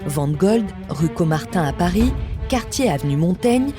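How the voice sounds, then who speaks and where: A woman's voice: fairly low pitch, expressive, normal speed. A woman reading a scripted true-crime story as a podcast narrator. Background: music.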